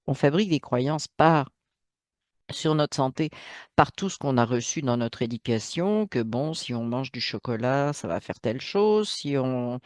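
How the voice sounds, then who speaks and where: Man talking slowly with many pauses. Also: mid-range voice, no echo, clean studio sound. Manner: hesitant, many fillers and restarts, emphatic asides. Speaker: channel host. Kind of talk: solo spoken monologue.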